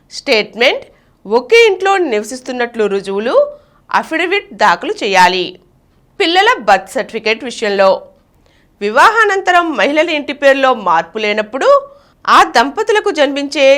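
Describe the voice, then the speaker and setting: A woman's voice: sits high, a bit bright. A woman reading a scripted informational article aloud as a narrator.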